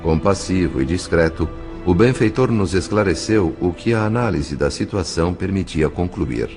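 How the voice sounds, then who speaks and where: A man reading scripted narration for an audio novel in a formal, literary, measured manner. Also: low voice, expressive. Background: faint music.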